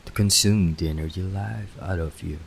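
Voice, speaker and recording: A man's voice: deep voice, creaky, slight background noise. A man playing a menacing, taunting villain who feeds on life force, in an amateur radio drama. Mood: menacing, gloating. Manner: taunting, theatrical, deliberate.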